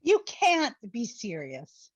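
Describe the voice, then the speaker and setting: Woman acting high, swooping, gushy young best friend. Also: wildly swooping pitch, takes it slowly, no echo, highs cut off, exaggerated voice. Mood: blunt, teasing. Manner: exclamatory, dramatic, valley-girl slang. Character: Brooklyn, gushing, needy, blunt young married best friend. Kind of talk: online play reading, comedic dialogue.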